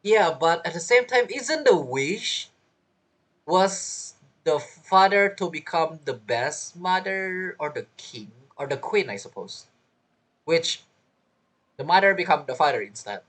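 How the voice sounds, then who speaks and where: Low, husky, expressive woman commentating. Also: measured pace, low-quality recording. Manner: expressive, bantering, repeats words. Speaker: game streamer. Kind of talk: casual reaction commentary over gameplay. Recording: game music behind voice. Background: video game music.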